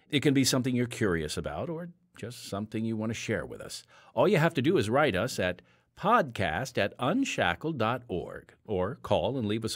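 A man hosting a podcast in a scripted, radio-style announcing delivery. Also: medium pitch. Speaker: podcast host.

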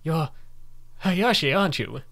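A woman's voice: low voice, expressive, a little slow, a bit bright, exaggerated voice. A woman voicing a polite, earnest, concerned young man from a comic. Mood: tentative. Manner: considerate, apologetic, earnest.

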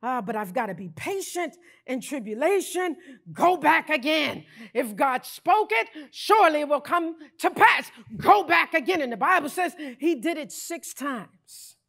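Woman pastor, middle-aged, high voice, bright tone, fiery sermon with shouts, handheld mic on a church stage. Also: measured pace. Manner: animated, preaching with bursts of shouting. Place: large church stage, indoors.

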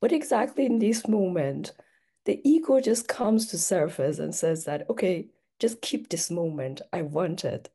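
A woman's voice: fairly low pitch, expressive, measured pace, dark tone, husky. A young woman from Iran, a native Persian speaker, talking on a video call in a hesitant, reflective way with many fillers. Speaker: participant. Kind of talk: casual chat. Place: home room, indoors.

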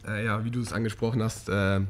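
A man's voice: lowish voice, little pitch movement, quick pace, slight background noise. A young man, a handball player, answering press questions hesitantly with many fillers into a table mic. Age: young adult. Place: hotel press conference room.

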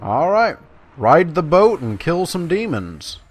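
A man's voice: fairly high pitch, very animated, slow, slightly rough, faint music behind. A man giving casual, self-deprecating let's-play commentary, talking directly to his viewers. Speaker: gaming YouTuber, host. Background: video game sound.

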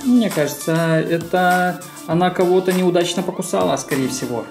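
A man talks a child through a toy unboxing. He explains things in simple terms and keeps prompting with questions. Music plays clearly behind him. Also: high voice, moderate pace. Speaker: co-host of a children's toy video. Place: small room at home.

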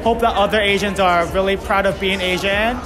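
A man's voice: sits high, fairly even intonation, moderate pace, crowd noise. A young man giving casual, reflective answers in an interview in a tiled subway passage. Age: young adult. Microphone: handheld phone held close. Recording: music behind street interview.

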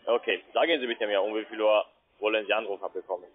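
A man's voice: on the low side, steady tone, quick pace. A man with a foreign accent in German, a non-native speaker making a scripted call-centre sales call. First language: not German. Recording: tinny narrowband phone line.

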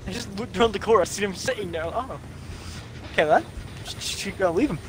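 A man's voice: sits high, quick pace, very noisy. A man in casual game chat, laughing and mocking as he plays a survivor. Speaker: gamer playing a survivor.

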